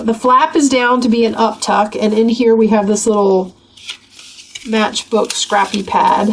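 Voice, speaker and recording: A woman with a gravelly voice from a cold, chatting casually as she flips through a craft journal. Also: medium pitch, slight background noise. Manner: chatty, rambling, with many fillers. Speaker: craft channel host.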